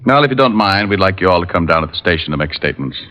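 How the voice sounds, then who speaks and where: An actor playing a calm, dogged, weary private detective in an old radio drama, telling his case in terse first person. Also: deep voice, rapid-fire, heavy background noise. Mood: probing. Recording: muffled old radio recording, music behind.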